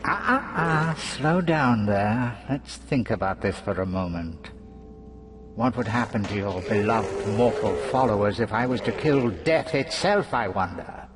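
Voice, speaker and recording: Gleeful, theatrical, taunting villain, swooping pitch. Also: male voice, animated, noisy background. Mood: silky menace. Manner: taunting, theatrical, drawn-out sarcastic delivery. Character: gleeful mocking theatrical villain, a trickster schemer. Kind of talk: video game cutscene villain acting.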